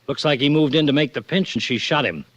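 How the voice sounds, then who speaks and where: An actor playing an irritable, businesslike police lieutenant in a 1940s radio drama. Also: male voice, medium pitch, fast, fairly bright tone, no echo, natural voice. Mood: urgent, businesslike. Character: irritable male police lieutenant, gruff but loyal friend. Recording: dry vintage 1940s radio recording.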